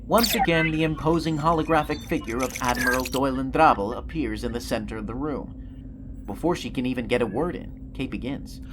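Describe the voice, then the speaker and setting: A man's voice: mid-range voice, fairly quick, background noise. A man narrating an audio drama in a dramatic scripted style. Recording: music behind the voice. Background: music.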